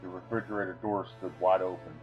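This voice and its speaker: A man with a low voice delivers his own short-story material in a deliberate, theatrical manner. The sound is dull and lo-fi, with faint guitar music playing behind him. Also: little pitch movement. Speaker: video creator reading own short stories. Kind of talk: dramatic reading of a comic short story.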